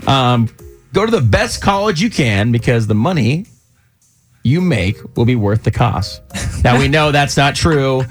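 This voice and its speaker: A man's voice: medium pitch, measured pace. A man in his thirties co-hosting a morning radio show, bantering casually and jokingly with a co-host and callers. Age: 30s. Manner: animated, jokey, puts on quoted voices. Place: radio studio. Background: music bed.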